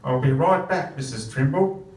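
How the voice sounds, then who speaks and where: A man playing a brisk, polite therapist in a live comedy radio play. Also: medium pitch, a little mellow, natural voice. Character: brisk, polite male therapist greeting patients. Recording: echoey, distant, very noisy stage recording.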